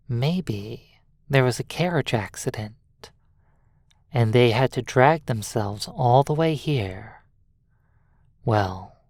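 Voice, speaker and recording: A narrator telling a second-person hypnosis story in a measured, halting way, in short phrases with long pauses. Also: medium pitch, a little mellow, dry recording, clean studio sound.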